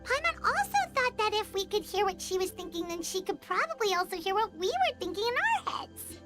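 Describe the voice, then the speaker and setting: A woman voicing a tiny, childlike, curious fairy guide in an anime video game, squeaky and eager. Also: sing-song, a little fast. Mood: pondering. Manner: refers to self in third person, eager. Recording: game music playing behind.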